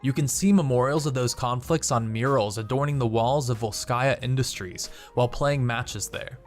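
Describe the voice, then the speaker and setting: A man's voice: mid-range voice, moderate pace. A young man hosting a gaming channel, reading scripted video narration. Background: background music.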